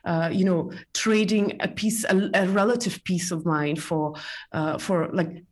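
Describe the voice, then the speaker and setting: A woman from Estonia speaks as an interview guest in a low, deep-set voice. Her answers come out measured and unhurried, with frequent pauses, fillers and restarts as she gathers her thoughts.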